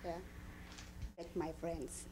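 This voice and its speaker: A woman's voice: sits low, fairly even intonation, slow, warm tone, a little hiss or hum. A woman, an international student, answering interview questions casually with "like" and "I mean".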